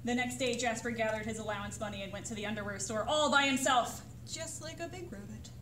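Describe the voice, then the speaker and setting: A woman reading a picture book aloud to children as a librarian, with dramatic, suspenseful delivery. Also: a little high. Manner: expressive, suspenseful pauses and sudden exclamations. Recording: roomy echo, distant mic, faint noise.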